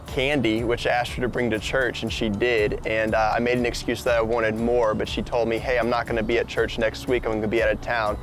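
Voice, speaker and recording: A man's voice: mid-range voice, level tone, brisk, few pauses, noisy background. A young adult man casually telling his own love story in a personal, conversational style.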